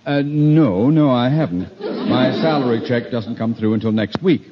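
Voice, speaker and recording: An actor playing a pompous, blustering middle-aged high school principal with theatrical, orotund speech in a 1950s radio comedy. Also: male voice, normal speed, a faint crowd, lightly acted. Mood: melodramatic. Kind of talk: 1950s radio sitcom acting. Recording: dull, hissy old 1950 radio broadcast.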